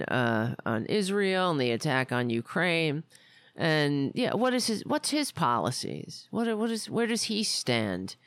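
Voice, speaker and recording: A woman's voice: extremely low pitch, fairly bright tone, dry recording, clean studio sound. A woman hosting a political podcast in a rambling, indignant, sarcastic way, saying she is losing her voice. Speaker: podcast host. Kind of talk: casual political commentary.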